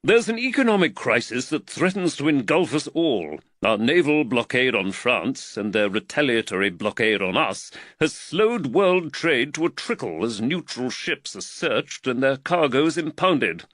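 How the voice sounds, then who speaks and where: An earnest magistrate who frets over money, put on by a man reading the story aloud. He speaks a notch higher and louder than the narration, leaning hard on his points with a lively, swinging pitch. The recording is dry and close, with no room around it. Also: measured pace, few pauses, no echo. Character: earnest, fretful male London magistrate, money-worried. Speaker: magistrate and employer.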